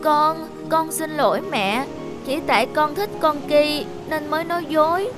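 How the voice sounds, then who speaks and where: A woman voicing an earnest, timid, affectionate young boy in a cartoon, in a soft-hearted, hesitant manner. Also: high-pitched, measured pace, music in the background. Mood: ashamed. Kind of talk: Vietnamese cartoon dubbing.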